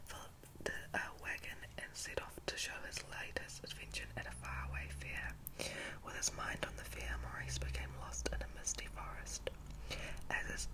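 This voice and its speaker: A woman reads a children's storybook in a breathy ASMR whisper, halting now and then to correct herself. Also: extremely low pitch, rough recording. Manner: halting reading with slips and self-corrections.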